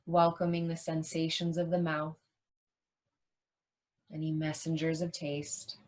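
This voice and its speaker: A woman leading a guided yoga nidra meditation as a teacher, soothing and unhurried, leaving long pauses between short phrases. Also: sits low, completely flat delivery, a little mellow.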